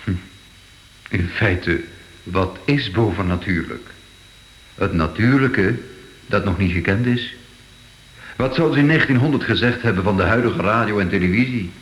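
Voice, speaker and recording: An actor playing a sober, thoughtful art investigator in a radio drama, speaking in a measured, pondering way. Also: male voice, on the low side, natural voice. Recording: echoey, faintly noisy old radio play.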